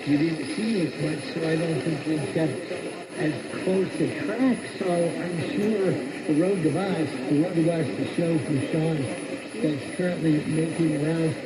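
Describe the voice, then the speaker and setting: A man's voice: fairly high pitch, steady tone, unhurried. A man hosting a radio talk show, interviewing in a conversational broadcast manner over noisy AM reception. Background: loud radio static and droning noise.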